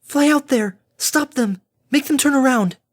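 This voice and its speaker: Woman voicing a high, prim, anxious pony mare. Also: a little fast, close to an everyday voice. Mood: panicked. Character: timid, prim female pegasus pony, anxious and precise. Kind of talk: animated audiobook character acting.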